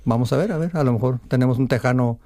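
A man with a Mexican Spanish accent, a guest in a radio studio, telling his story in a friendly, unhurried way. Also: mid-range voice, warm tone. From Texas and northern Mexico. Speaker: guest, sports medicine doctor. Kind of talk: radio interview chat.

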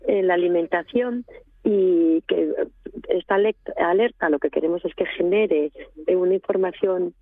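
A woman's voice: on the low side, moderate pace, no echo. A woman from Asturias, speaking as a guest in a radio interview by phone, hesitant, with many restarts. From Asturias, Spain. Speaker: guest, NGO regional delegate. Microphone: telephone. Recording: tinny phone line.

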